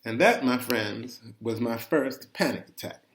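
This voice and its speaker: Man, mid-pitched voice, first-person storytelling, conversational delivery, expressive, deliberate emphatic phrasing. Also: slight background noise. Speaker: reader of a personal chronicle. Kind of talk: dramatic first-person storytelling, read aloud.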